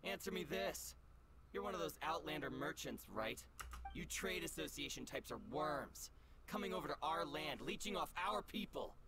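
A man's voice: a little high, lively intonation, normal speed, heavy background noise, close to an everyday voice. A man playing a cocky, taunting ronin bandit with a mocking, sneering manner in a video game.

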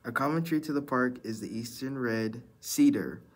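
A man's voice: mid-range voice, moderate pace. A teenage boy narrating a school project, reading his notes aloud. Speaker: student presenter.

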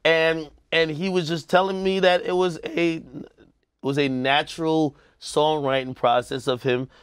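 A young man co-hosting a studio talk show in casual, animated banter, joking and trash-talking with the other hosts. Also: fairly high pitch, unhurried, smooth, no room sound. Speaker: co-host. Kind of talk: casual studio panel chat. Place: TV studio set.

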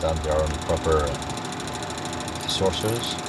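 Deep-voiced male test pilot over engine noise. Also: slow pace. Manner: methodical, explains step by step. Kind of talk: cockpit walkthrough demonstration. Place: helicopter cockpit on an airfield, door open. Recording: loud engine and outdoor noise.

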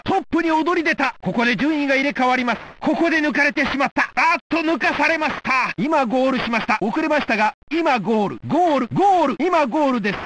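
High-pitched retro sports announcer, noisy broadcast. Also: male voice, fairly bright tone. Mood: thrilled, shouting. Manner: formal, crisply declamatory, dramatic. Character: excitable male 1964 Olympic TV and radio sports announcer. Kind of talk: retro 1960s Japanese sports broadcast commentary.

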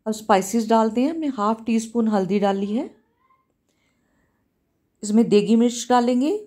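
A woman hosting a home cooking channel talks through each step of a recipe in a calm, instructive way, often repeating herself. Her voice sits a little high but sounds warm and mellow. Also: normal speed. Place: home kitchen.

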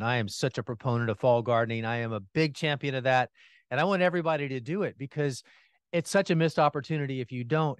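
A man as a podcast guest, talking about gardening with enthusiasm and animated energy, laughing now and then. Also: mid-range voice, clean tone, no echo. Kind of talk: casual interview chat. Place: home in Atlanta, remote call.

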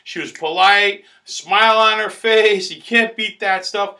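A middle-aged man talks straight to the camera with loud, boisterous pitchman energy, circling back on the same phrases. His voice sits high for a man and cuts hard and sharp. He sounds recorded in a small indoor space on a camera mic at desk distance. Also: a little slow. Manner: loud, boisterous, salesman-like, repeats himself. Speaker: food critic host. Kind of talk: restaurant review to camera. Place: small indoor studio in front of a banner backdrop.

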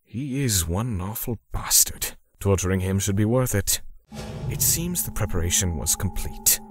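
A male actor as a righteous, menacing torturer narrating a manga story. His low voice is grim and measured, with music playing behind it. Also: lively intonation, no echo, studio quality. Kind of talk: scripted manga dub narration.

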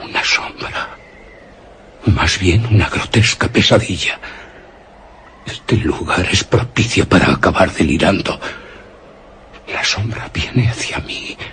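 A man's voice: deep voice, animated, slow, long pauses, a bit bright, slight background noise, heavily acted. An actor with a Castilian Spanish accent playing a nervous man in a gloomy haunted castle, muttering theatrically in a radio horror drama. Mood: hushed dread. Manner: theatrical, muttering tensely to himself.

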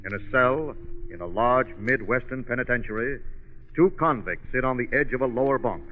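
Old radio show narrator guy, mid pitched, scripted 40s style, tinny sound. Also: normal speed. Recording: tinny 1940s radio recording.

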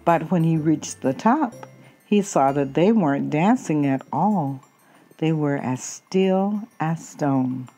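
Woman reading a kids book, slow and animated, stretches key words, faint music behind. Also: deep voice. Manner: expressive, drawn-out key words. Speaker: children's picture book reader. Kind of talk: storybook read-aloud.

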